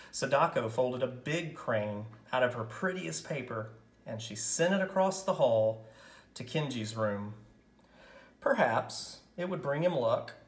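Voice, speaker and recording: A man reading a picture book story aloud in a gentle, measured way with dramatic pauses. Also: mid-range voice, echoey room. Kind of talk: scripted story narration, read aloud.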